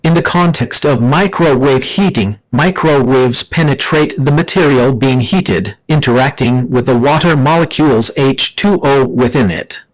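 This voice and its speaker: A man's voice: medium pitch, normal speed, hardly any pauses, low-quality recording. Formal synthetic-style man explaining. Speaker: AI assistant. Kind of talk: scripted explanatory reading.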